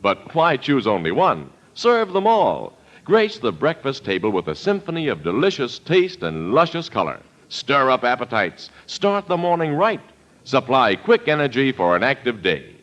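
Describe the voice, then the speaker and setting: Animated American old-time radio announcer, man. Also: medium pitch, normal speed. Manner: polished, florid delivery. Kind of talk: 1940s radio announcing, scripted sponsor reads. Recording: muffled old radio broadcast, music behind.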